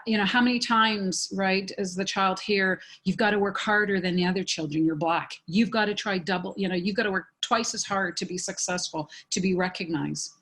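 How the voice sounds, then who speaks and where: A woman's voice: mid-range voice, fairly bright tone. Woman, professor on a panel, earnest, explanatory, repeats herself for emphasis. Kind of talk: panel discussion.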